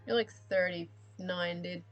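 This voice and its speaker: A woman's voice: lowish voice, steady tone, unhurried, soft background music. A young woman from Australia with a slightly American-drifting Australian accent, chatting casually and rambling with self-deprecating laughs while drawing on a live stream.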